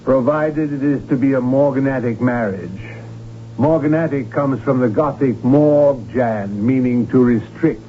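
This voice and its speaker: A man's voice: medium pitch, measured pace, natural voice. Casting: man as stiff court official for radio drama, pedantic and formal, mid-range, humming lo-fi sound. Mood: officious. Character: stiff, pedantic male imperial chamberlain. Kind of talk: 1970s radio drama acting. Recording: tinny, noisy old radio recording.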